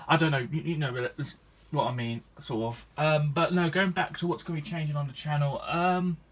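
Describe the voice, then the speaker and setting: Rambling young gaming vlogger. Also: male voice, fairly high pitch, fairly even intonation, moderate pace, fairly bright tone. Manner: rambling, hesitant, many restarts and ums. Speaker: gaming YouTuber. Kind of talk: casual vlog chat to viewers.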